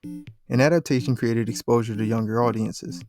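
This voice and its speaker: A man's voice: medium pitch, fairly even intonation, normal speed, over music. A man reads scripted documentary narration for a video essay, speaking as a voice-over.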